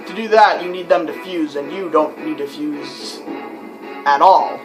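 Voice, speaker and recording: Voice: mid-range voice, a little slow, rough recording. A young adult rambling casually as a let's play commentator while playing a video game. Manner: rambling and chatty, with many fillers. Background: game soundtrack music.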